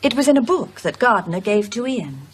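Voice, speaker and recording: A haunted widow in a horror audio drama. Her voice sits fairly high and has a husky edge. She is grave and hesitant, yet insistent when afraid. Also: very animated, normal speed. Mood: grave, uneasy. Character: anxious, solemn widow haunted by a curse.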